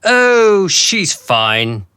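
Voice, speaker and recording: A man's voice: sits high, big pitch swings, very slow, bright, forceful tone, smooth, dry recording, full character voice. A man playing an officious, falsely courteous boss in a radio drama, with a mannered, condescending way of talking. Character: smooth-talking, officious male boss of a sinister organisation.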